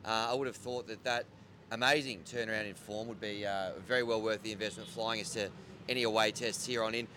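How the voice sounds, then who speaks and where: A man hosts a casual chat with a bright, forward tone. He jokes at his co-hosts' expense and passes questions along. Faint background noise runs beneath. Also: fairly low pitch, fairly even intonation, fairly quick. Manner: teasing, jokey, throws to co-hosts. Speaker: host.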